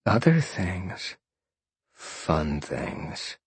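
Man playing a casual young guy, low-voiced. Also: very animated, drawn-out words, slightly rough, no room sound, heavily acted. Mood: teasing.

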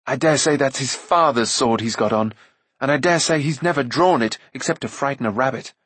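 Brief: man playing a sulky, easily nettled young lad, grumbling indignation, husky, dry. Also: medium pitch, brisk, a bit bright, dry recording. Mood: indignant. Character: young assistant pig keeper, proud and easily nettled.

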